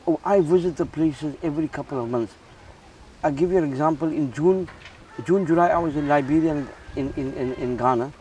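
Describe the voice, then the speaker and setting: A man's voice: a little high, fairly quick, darkish tone, slight background noise. Earnest man from Zimbabwe, heard from a distance. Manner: repeats words and restarts, earnest. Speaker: charity founder. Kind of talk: casual interview.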